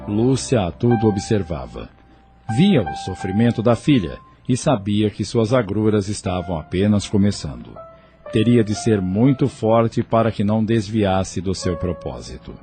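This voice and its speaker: A man reading scripted narration for an audio drama in a measured storytelling manner. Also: fairly low pitch, mellow.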